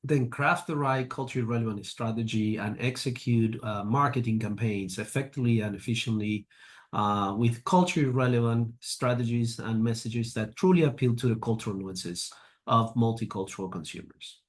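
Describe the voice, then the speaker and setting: Man, fifties, halting, filler-heavy, middle pitch. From Argentina. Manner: halting, with frequent fillers and restarts. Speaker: guest, agency president. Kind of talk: interview answers.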